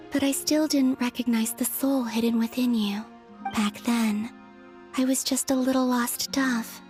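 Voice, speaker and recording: A woman playing a gentle, melancholy young moon maiden in a video game, musing to herself in hushed tones with breathy sighs. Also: on the high side, natural voice. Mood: wistful realization. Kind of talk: dramatic video game voice acting. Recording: background music under the voice.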